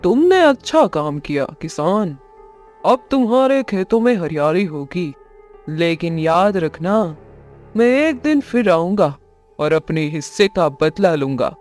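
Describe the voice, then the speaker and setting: A man playing a greedy, menacing magician in an animated story, speaking slowly and deliberately. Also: high-pitched, faint music behind. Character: sinister greedy bargaining male magician. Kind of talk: Hindi animated moral story acting.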